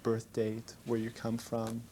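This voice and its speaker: A man interviewing for an oral history project, prompting politely and gently. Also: mid-range voice, completely flat delivery, takes it slowly, crowd noise.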